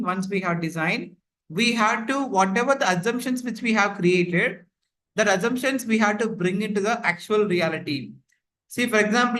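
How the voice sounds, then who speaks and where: High-voiced man lecturing online. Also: fairly bright tone, low-quality recording. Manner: repetitive, filler-heavy explaining with frequent "like, you know". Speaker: instructor. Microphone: computer mic on a video call.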